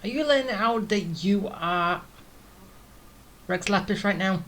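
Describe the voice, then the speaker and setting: A woman's voice: medium pitch, animated, a little slow, a little hiss or hum. A woman giving casual let's play commentary, with teasing asides at the game. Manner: teasing asides, often laughing. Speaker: video game streamer. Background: game music.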